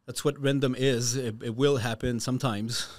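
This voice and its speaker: A man hosting a casual interview, talking in halting phrases with many restarts. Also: medium pitch, fairly even intonation, no room sound. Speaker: podcast host.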